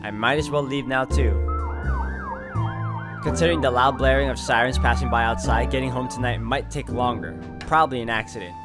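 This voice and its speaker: A man's voice: medium pitch, a little fast, loud music behind the voice. A man reading first-person visual novel narration aloud as he plays through the game.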